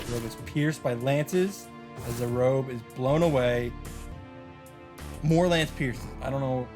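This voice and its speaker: A man narrates a story aloud in a dramatic read-aloud style, pitched in the middle of the male range. Music plays behind his voice as he reads. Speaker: host reading a visual novel aloud with reactions.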